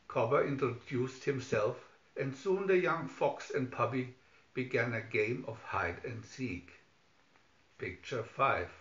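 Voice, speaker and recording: Male storybook narrator, slow scripted children's read, lo-fi sound. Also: mid-range voice. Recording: dull lo-fi recording, roomy echo.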